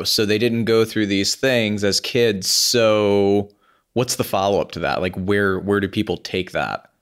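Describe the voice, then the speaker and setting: A man's voice: on the low side, clean tone. A man hosting a podcast, asking careful, hedging follow-up questions. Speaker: podcast host. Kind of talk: interview questions.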